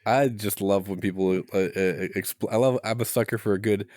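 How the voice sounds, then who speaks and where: A man chatting casually about films as a podcast panelist, halting and jokey. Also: fairly low pitch, fairly even intonation, a little mellow, no echo, studio quality. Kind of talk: casual film chat.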